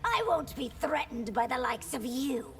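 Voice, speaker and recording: An actress playing a proud, stern empress in a fighting game, imperious and emphatic. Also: female voice, high voice, lively intonation, measured pace, gravelly, slight background noise, heavily acted. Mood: defiant. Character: proud regal empress, stern and unyielding ruler. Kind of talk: fighting game character dialogue.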